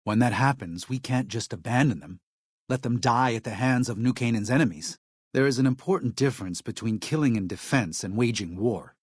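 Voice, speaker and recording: A man voicing an earnest, weary missionary medic in a video game, speaking in a measured, solemn, plain-spoken way. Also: medium pitch, slightly rough, dry recording, studio quality, natural voice. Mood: grave, solemn. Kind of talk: video game character dialogue.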